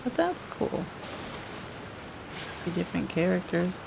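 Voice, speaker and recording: A woman's voice: lowish voice, very animated, a little slow, gravelly, background noise. A woman casually commenting on a video game as she plays and reads lines aloud. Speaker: gaming video host. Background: game music.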